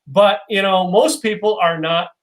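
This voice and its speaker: A man's voice: high voice, a little slow. A man hosting a casual video-call interview from a loft room at home, rambling and joking with lots of fillers. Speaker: show host.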